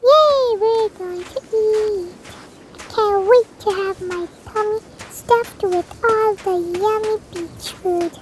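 A performer voicing an excitable, playful little girl with a childlike, whiny delivery in an amateur family roleplay. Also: very high-pitched, slow pace. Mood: excited. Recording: clicky, clearly noisy home recording.

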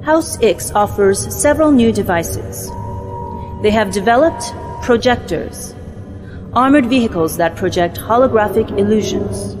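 A woman's voice: medium pitch, expressive, unhurried, natural voice. An actress playing a dutiful, controlled female military mentor in a science fiction game, giving clipped, formal briefings. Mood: cool, stern. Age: young adult. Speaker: actor in game cutscenes. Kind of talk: formal scripted mission briefing. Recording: long hall reverb, music underneath. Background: background music.

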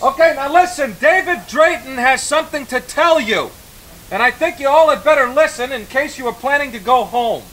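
Plain-spoken, worried store worker. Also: male voice, extremely high pitch, expressive, normal speed, pushed and bright, exaggerated voice, low-quality recording. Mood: firm, nervous. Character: down-to-earth, worried male grocery store assistant manager. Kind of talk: audio drama acting.

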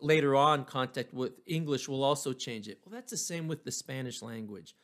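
A man's voice: medium pitch, moderate pace. A man from New Mexico, giving an informal, explanatory history lecture. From New Mexico, United States.

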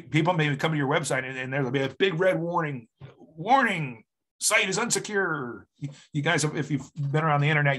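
A man presenting an informal software tutorial at a meetup, rambling and plain-spoken, often repeating words as he goes, with an encouraging way of talking. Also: medium pitch.

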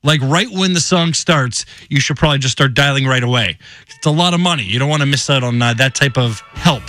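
A man's voice: medium pitch, fairly quick, pushed and bright. A man working as a radio DJ, chatting casually and conversationally to listeners from a studio on a close microphone.

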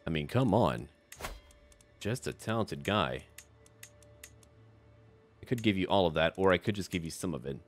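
A man's voice: sits low, lively intonation, normal speed, pauses often, soft background music. A man hosting a casual roleplay gaming video, commenting in a chatty, offhand way as he addresses the game's characters.

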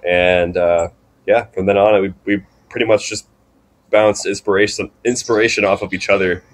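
Young male musician, lowish voice, informal halting storyteller, interview guest. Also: fairly even intonation. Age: young adult. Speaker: band drummer, interview guest. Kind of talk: casual chat, storytelling.

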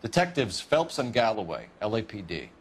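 Casting: earnest American detective, thirties, low-pitched, formal, clipped, game crime drama, noisy street behind. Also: male voice, huge pitch swings, moderate pace. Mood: businesslike. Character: earnest, by-the-book young male homicide detective. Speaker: police detective.

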